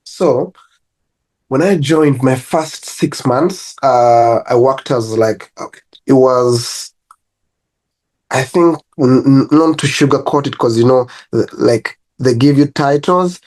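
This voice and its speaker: A man's voice: mid-range voice, slow. A young Ugandan man with a Ugandan accent, a personal trainer chatting easily as an interview guest, laughing between stories. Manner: relaxed storytelling with laughs and restarts. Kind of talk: casual interview.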